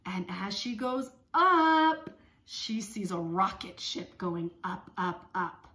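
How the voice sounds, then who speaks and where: A woman in her thirties leading songs and story time for young children, speaking in simple, repetitive, expressive phrases. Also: mid-range voice, slow, reverberant. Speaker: speech-language pathologist leading circle time. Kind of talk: children's songs and read-aloud lesson. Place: small room at home.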